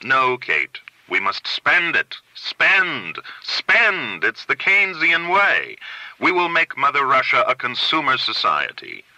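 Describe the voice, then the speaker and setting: A wry American man of letters, acted by a male narrator. He is brusque and declamatory in storybook style, his voice forceful and lifted high. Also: huge pitch swings, takes it slowly, dry recording, exaggerated voice, low-quality recording. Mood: brusque, exuberant.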